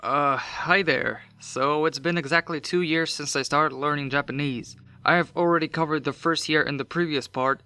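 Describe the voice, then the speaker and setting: Bright-voiced man narrating a vlog. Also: medium pitch. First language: not English. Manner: casual, matter-of-fact, self-deprecating asides. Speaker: vlogger. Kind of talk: scripted voice-over narration.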